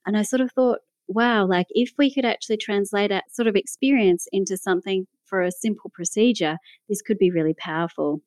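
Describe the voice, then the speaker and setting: A woman's voice: mid-range voice, brisk, few pauses, a little mellow, smooth, no echo. A woman with an Australian accent, telling her story conversationally as an interview guest. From Melbourne, Australia. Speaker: guest, pediatric doctor.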